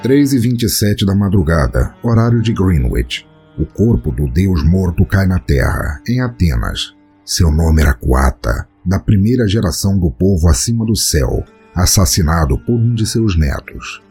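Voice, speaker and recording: Deep-voiced Brazilian man narrating theatrically. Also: very animated, normal speed, dark tone. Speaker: podcast host and narrator. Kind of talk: scripted podcast narration and storytelling. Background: music.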